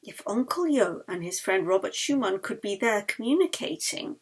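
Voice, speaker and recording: A woman reading her novel aloud in expressive audiobook narration, with a husky, breathy voice and a dramatic manner that drops to hushed phrase endings. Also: medium pitch, moderate pace, low-quality recording.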